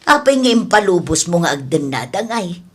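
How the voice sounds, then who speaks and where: Woman doing gossipy neighbour in a radio drama, lowish voice, scolding and disapproving. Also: very animated, normal speed, breathy edge. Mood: nosy, scolding. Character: nosy, disapproving neighbour and friend of the father.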